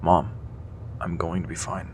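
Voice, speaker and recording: A man's voice: low voice, little pitch movement, unhurried, husky. A man playing an idealistic, defiant teenage son with earnest delivery in an audio drama. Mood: hurt, resolute.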